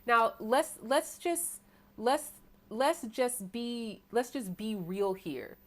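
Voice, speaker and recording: Preachy American woman reading tarot. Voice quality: self-described deep voice. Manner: preachy, rhetorical, asks "right?" often, bursts of fast talk. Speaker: tarot reader. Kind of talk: tarot sermon to an online audience.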